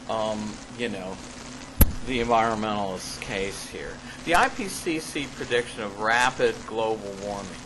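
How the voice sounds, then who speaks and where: Slow, halting male lecturer in a noisy room. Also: mid-range voice. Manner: halting, deliberate, emphasizing key words. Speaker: lecturer presenting own paper. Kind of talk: academic paper reading with spoken asides. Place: classroom in front of a class. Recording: clearly noisy live classroom recording.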